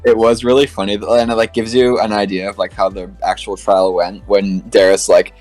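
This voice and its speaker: A man's voice: fairly low pitch, little pitch movement, moderate pace, darkish tone, faint music behind. A man talking casually as a panelist in a fan podcast discussion.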